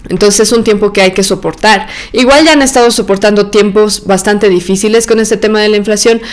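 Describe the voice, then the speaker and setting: A woman's voice: mid-range voice, steady tone, brisk. A woman from Argentina and Bolivia hosting a solo podcast, giving chatty, opinionated commentary with frequent fillers and restarts. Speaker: podcast host.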